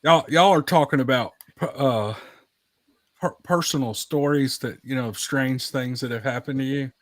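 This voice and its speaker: A man's voice: mid-range voice, normal speed, no room sound. A man telling anecdotes in casual podcast chat, folksy and given to repeating his phrases for emphasis.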